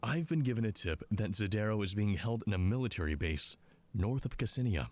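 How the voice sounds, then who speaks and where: An actor playing a smug, condescending intelligence commander in a scripted drama, talking down to people in a mocking way. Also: male voice, fairly low pitch, expressive, brisk, a little mellow, slight background noise, natural voice. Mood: smug, calculating. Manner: condescending, mocking, drawling asides.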